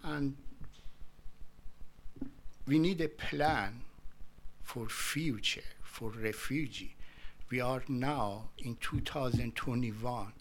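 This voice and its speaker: A man guest in a conversational interview, speaking halting English as a second language in short broken phrases. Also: mid-range voice. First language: not English.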